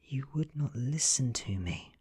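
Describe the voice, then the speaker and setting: Man voicing a soft, menacing ancient vampire woman, breathy. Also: mid-range voice, animated, measured pace, pitched above the performer's own voice. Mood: gently pitiless. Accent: British. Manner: soft, coaxing, whispery. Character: ancient female vampire, gentle yet coldly menacing. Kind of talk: ASMR audiobook reading.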